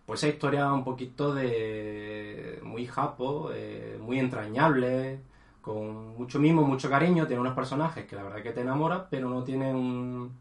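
A man's voice: mid-range voice, moderate pace, creaky, reverberant. A man chatting casually about video games as a podcast co-host, hesitant and full of fillers. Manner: rambling, hesitant, many fillers. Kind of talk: casual gaming chat.

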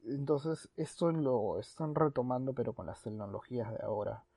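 A man co-hosting a podcast in a casual, halting way, with frequent false starts. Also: mid-range voice, dark tone, breathy edge, no echo. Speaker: podcast co-host.

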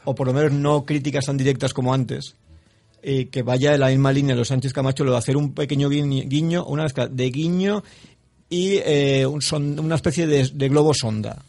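A man from Spain commenting on politics on a radio panel, speaking in a measured, analytical way. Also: medium pitch, steady tone, vocal fry, darkish tone. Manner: measured and analytical, with some fillers and restarts. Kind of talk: panel debate. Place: radio studio.

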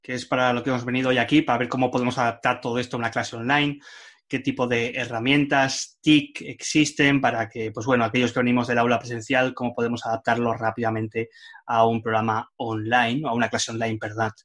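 A man's voice: mid-range voice, flat delivery, brisk, fairly bright tone. A man hosting an online workshop for teachers, chatty and hesitant with many fillers. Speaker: workshop host for Spanish teachers. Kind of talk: casual online workshop talk.

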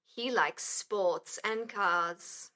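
A woman reading the part of a young Englishwoman in an English lesson, with an English accent, slow and careful. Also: mid-range voice, sharp tone. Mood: plain. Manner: slow, careful, clearly spaced. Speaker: course reader.

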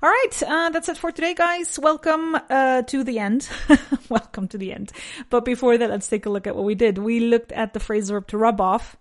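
A woman with a nasal voice teaching an English lesson. She repeats each sentence slowly and switches between English and Spanish. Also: on the high side. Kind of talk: bilingual language lesson.

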